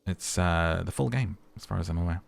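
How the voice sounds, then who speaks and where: Deep, gravelly male game streamer. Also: fairly quick, no room sound. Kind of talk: playthrough commentary and dramatic narration.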